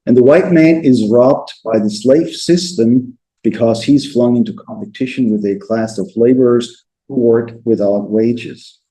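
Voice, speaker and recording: A man's voice: medium pitch, dark tone, poor audio. A man, giving an academic lecture and reading much of it aloud, often repeating words when speaking freely. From southern Germany. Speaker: guest lecturer.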